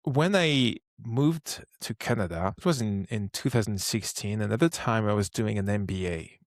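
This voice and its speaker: A man in his thirties with a French accent, speaking English as a second language, chatting as an interview guest. He talks in a relaxed way, thinking aloud with frequent restarts. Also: fairly low pitch, normal speed, dry recording, studio quality. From France. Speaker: guest, startup co-founder. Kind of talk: casual interview conversation.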